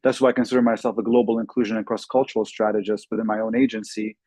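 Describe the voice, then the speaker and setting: A man's voice: lowish voice, flat delivery, very fast, dark tone, no echo. A man from Lebanon introducing himself as a panelist over a video call, fluent and listing, with occasional ums. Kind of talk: self-introduction on a webinar panel.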